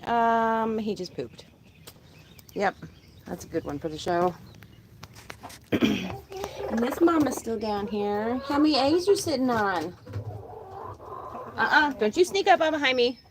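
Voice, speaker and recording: A woman chatting casually on a live stream from her chicken coop outdoors, talking to her birds, with a calm, disinterested-sounding voice. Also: medium pitch, takes it slowly, pauses often, slight background noise. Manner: chatty, laughs often, talks to her birds. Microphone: phone held in hand.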